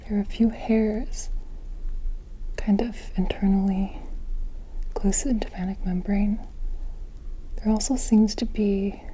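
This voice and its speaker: Soft whispering woman, mellow ASMR clinician. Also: mid-range voice, slight background noise, poor audio. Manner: gentle, careful, clinical narration. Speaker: ASMR creator acting as examining clinician. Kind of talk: ASMR medical roleplay.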